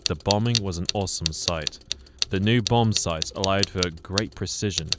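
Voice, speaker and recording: A man's voice: low voice, moderate pace, lightly acted. A man playing a prim, timid English war reporter in a radio drama, formal. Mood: solemn narration. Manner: formal, stammering when flustered. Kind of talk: radio drama narration and acting. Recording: very loud noise and typewriter clatter.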